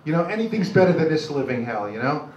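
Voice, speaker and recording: Older New York comic, distant echoey recording. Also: male voice, fairly high pitch, big pitch swings, a little mellow. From Brooklyn, New York, USA. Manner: halting, self-deprecating, rambling delivery. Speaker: stand-up comedian. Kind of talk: live stand-up comedy, crude jokes. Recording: dull, noisy, echoey club recording from afar.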